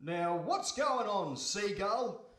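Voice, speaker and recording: An echoey recording of a bemused fisherman played by a man in a comic story. The voice is high and swoopy yet slow, full of grumbling disbelief and working-man exasperation. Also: animated, fairly bright tone, full character voice. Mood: exasperated. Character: poor, sceptical, easily exasperated married fisherman. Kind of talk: comic audio story acting. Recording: roomy echo, faint noise.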